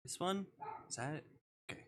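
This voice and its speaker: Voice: brisk, noisy background. A game streamer chatting casually to viewers, hesitant and playful, often muttering to themselves. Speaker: livestreamer. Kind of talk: casual live game stream chat, reading on-screen dialogue aloud.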